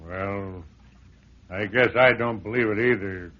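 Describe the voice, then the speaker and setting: A man's voice: fairly low pitch, a little slow, close to an everyday voice. An actor playing a folksy, plain-spoken handyman in a vintage radio drama, deferential, wary and skeptical. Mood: matter-of-fact, skeptical. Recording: dull old radio recording.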